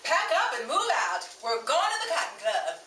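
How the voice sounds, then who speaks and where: A woman playing an upbeat, ambitious performer in an old-time radio style comedy, theatrical and quick with comebacks. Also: a little high, huge pitch swings, bright, forceful tone, full character voice. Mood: lively. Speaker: lead actor and show announcer. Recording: echoey live room, distant mic, audience noise.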